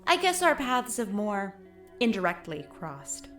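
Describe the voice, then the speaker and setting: A woman's voice: medium pitch, sing-song, unhurried, a bit bright, faint music behind. A woman playing a guarded, cryptic female ghost in an audio drama, speaking in an aloof, challenging and enigmatic way. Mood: enigmatic, probing.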